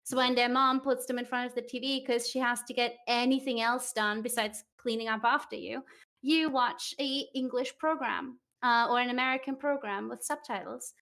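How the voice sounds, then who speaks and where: Woman from Austria, higher voice, animated and informal company livestream talk. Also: normal speed. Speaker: company communication manager, livestream participant. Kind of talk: casual chat.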